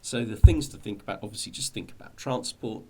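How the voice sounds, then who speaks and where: A man's voice: mid-range voice, fairly quick. A middle-aged British man talking informally to viewers as he explains things, in a hesitant, rambling way with repeated words. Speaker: hobby video host.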